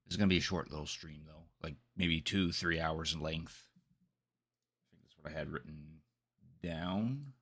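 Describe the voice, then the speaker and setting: A man's voice: rumbling low, unhurried, long pauses, fairly bright tone, breathy edge. A man hosting a livestream, talking casually in a rambling, conversational way. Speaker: game live streamer, host.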